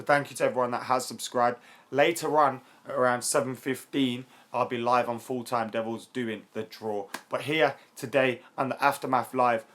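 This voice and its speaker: A young man with a hoarse, worn voice, hosting a live football chat stream, chatty and excitable and quick to laugh. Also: mid-range voice. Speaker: YouTube football channel host.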